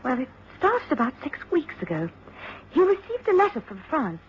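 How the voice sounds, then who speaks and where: An actress playing a well-spoken, composed young English daughter in mourning, in a radio drama. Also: female voice, sits high, wildly swooping pitch, normal speed. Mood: anxious recounting. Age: young adult. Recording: dull, faintly noisy old radio recording.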